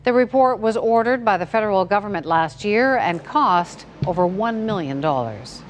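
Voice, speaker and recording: Lively-voiced woman anchoring the news. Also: mid-range voice, normal speed, slight background noise. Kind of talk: scripted news reading.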